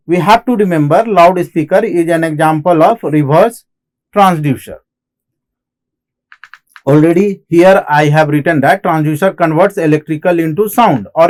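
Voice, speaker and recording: A man with an Indian accent giving a technical lecture as an instructor, explaining in halting phrases and often repeating himself. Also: high-pitched, a little mellow. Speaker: engineering lecturer. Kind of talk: classroom lecture on technical material.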